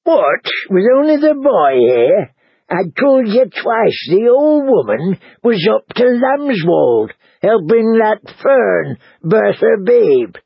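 A man's voice: high voice, very animated, takes it slowly, vocal fry, breathy edge, no room sound, highs cut off, voice pushed up, exaggerated voice. A man voicing a grumbling, defensive middle-aged innkeeper who whines and complains. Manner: whiny, complaining.

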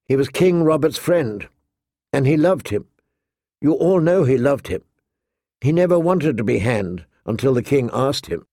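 A man's voice: mid-range voice, animated, measured pace, mellow, dry recording, clean studio sound. A man voicing an earnest young noble girl in an audiobook, pleading eagerly and deferentially.

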